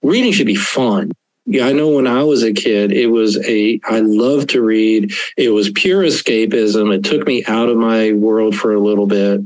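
Chatty author in a dry room. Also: male voice, on the low side, normal speed, a little mellow, no echo, rough recording. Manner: rambling, chuckling, many fillers. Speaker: author, guest. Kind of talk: casual interview chat.

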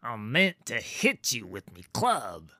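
A wheezing, dim-witted troll with a rust-brown hide gasps out his words in a rough, simple way, as a man puts him on. His pitch swings widely and sits dry and close in a storybook reading. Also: wildly swooping pitch, slow pace, pushed and bright, no echo, exaggerated voice. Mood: gasping.

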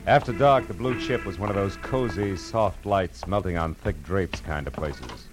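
A man's voice: sits low, normal speed, over music, lightly acted. An actor playing a wry, wisecracking male private detective in an old radio detective drama. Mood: wry narration. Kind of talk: 1940s radio detective drama acting, with narration.

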